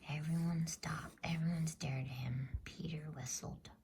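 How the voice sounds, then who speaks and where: A woman reading a bedtime story in a soft, breathy, soothing ASMR whisper, unhurried and gentle. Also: sits low, fairly even intonation. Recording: faint background noise.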